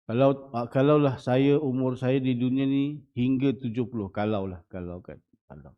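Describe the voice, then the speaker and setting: A man in his forties with a Malaysian Malay accent, a religious teacher giving a dawn lecture in a small prayer hall, often putting rhetorical questions to the audience. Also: medium pitch. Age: 40s. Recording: muffled lo-fi recording.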